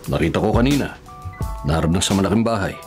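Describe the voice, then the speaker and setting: Low-voiced, kindly Filipino father. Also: expressive, quick pace. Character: kindly father, older man, gently encouraging his son. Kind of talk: Filipino radio drama acting. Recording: faint music behind the voice.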